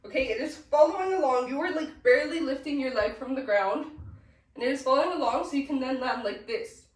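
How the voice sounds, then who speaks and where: A woman's voice: high-pitched, a little fast. A young woman with a cold, sniffling as she casually teaches dance steps and counts the beats aloud. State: sick, sniffly. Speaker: dance tutorial instructor. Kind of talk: casual step-by-step dance instruction. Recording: echoey room, mic at a distance.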